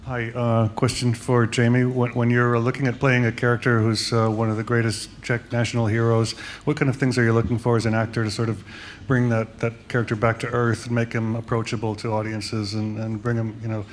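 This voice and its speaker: Male reporter. Monotone, medium pitch, halting question with faint room noise. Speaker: journalist asking a question. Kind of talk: spoken press question. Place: press conference room.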